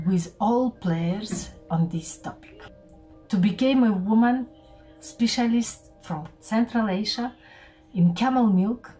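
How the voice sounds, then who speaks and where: A woman from Kazakhstan presents her research in a slow, halting way, with many pauses. Her voice is mellow and sits a little back from the mic in a home room. Faint music plays underneath. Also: on the low side, far from the mic, poor audio. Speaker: visiting researcher. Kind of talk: prepared self-presentation. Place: home room with bookshelves, indoors.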